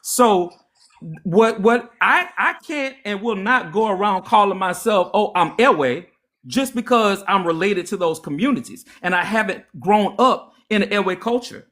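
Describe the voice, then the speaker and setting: Man, louisiana accent, high voice, lecturing, mocking, livestream debate host. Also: expressive, measured pace, fairly bright tone. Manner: lecturing, mocking, repetitive taunts. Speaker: live stream host.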